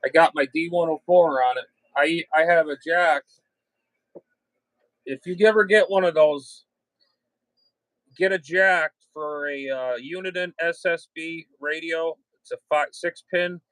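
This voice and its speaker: A man's voice: on the high side, unhurried, fairly bright tone, dry recording, rough recording. A man hosting a casual hobby livestream, talking in a blunt, slangy way with some swearing. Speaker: livestream host and ham radio hobbyist.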